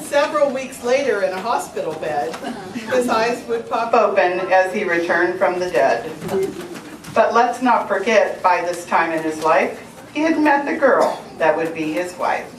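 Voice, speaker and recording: A woman's voice: mid-range voice, lively intonation, measured pace. A woman reading humorous rhyming verse aloud to a family gathering. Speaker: family member reading a tribute poem. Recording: echoey, distant, very noisy recording.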